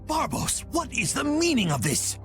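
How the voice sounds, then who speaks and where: A man's voice: extremely high pitch, sing-song, moderate pace, over music. A man voicing a haughty, indignant villain in a video game, imperious and full of sputtering outrage. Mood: indignant, demanding. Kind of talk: dramatic video game voice acting.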